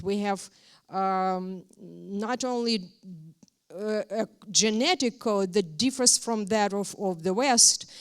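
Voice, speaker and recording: A woman's voice: mid-range voice, smooth. A woman from Ukraine, speaking as a guest at a university talk in an earnest, emphatic way. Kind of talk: university lecture.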